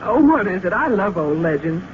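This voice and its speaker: An actress playing a playful, eager young magician's assistant, gushing and wide-eyed, in vintage radio drama style. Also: female voice, on the low side, very animated, normal speed. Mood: eager, curious. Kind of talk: 1940s radio drama acting. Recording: tinny, noisy old radio recording.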